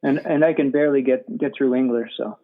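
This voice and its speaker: A middle-aged man, a former college professor and guest author, speaking haltingly and repeating words. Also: mid-range voice, fairly even intonation. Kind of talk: casual interview chat. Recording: tinny phone-like line.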